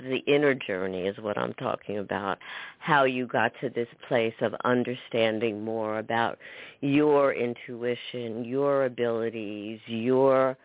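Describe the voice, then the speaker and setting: Eager host, mid pitch, dry narrow-band audio, praises the guest. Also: a little slow, dry recording. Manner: warm, enthusiastic, repeats words. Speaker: podcast host. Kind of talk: podcast interview conversation. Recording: tinny phone-like line, dry.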